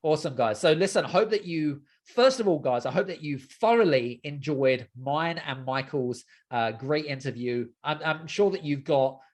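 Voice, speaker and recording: A man's voice: on the high side, animated, normal speed, few pauses. A man hosting a casual podcast interview, chatty and inclined to repeat his affirmations and phrases. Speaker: podcast host.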